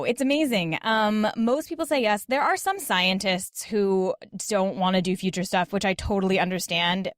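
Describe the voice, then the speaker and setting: A woman's voice: medium pitch, dry recording, clean studio sound. A woman talking casually as a podcast guest, explaining at length with plenty of "like" and "sort of". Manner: talkative, explanatory, frequent "like" and "sort of". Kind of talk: casual interview conversation.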